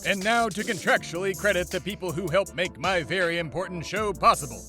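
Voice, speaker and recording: A man's voice: sits high, fairly quick, pushed and bright, soft background music. A man playing a pompous, self-important male patriot who hosts a comedy podcast in a mock-serious, grandiose way. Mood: pompous, commanding. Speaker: podcast host. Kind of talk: mock-serious comedic monologue and dramatic reading.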